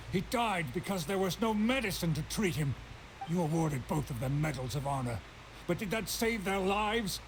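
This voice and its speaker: A man playing a stern, indignant middle-aged island trader in a video game, gruff and argumentative. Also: fairly high pitch, a little hiss or hum, lightly acted, rough recording. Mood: bitter, grieving. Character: stern, indignant older male island trader, grieving father.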